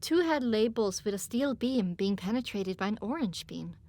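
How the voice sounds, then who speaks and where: A woman narrating a scripted first-person story as an audiobook, with an expressive, dramatic delivery. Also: mid-range voice, normal speed.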